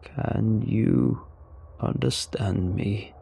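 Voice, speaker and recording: Casting: male narrator as stranded alien, deep and gravelly, very slow, deliberate, weary yet wry, for a sci-fi story. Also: dark tone, heavily acted. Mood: tentative. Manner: slow, halting, deliberate delivery.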